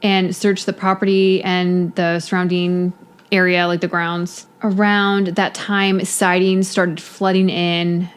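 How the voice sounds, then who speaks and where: A woman's voice: fairly low pitch, flat delivery, slow pace, smooth. A woman co-hosting a podcast, telling a case in casual chat with a lot of "you know" fillers. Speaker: podcast co-host.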